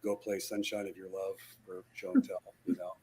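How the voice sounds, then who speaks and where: A man's voice: low-pitched, little pitch movement, moderate pace, mellow, a little hiss or hum. A man in his sixties, a guitarist guest in an interview, telling his story in a rambling way with many fillers and restarts over a video call. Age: 60s.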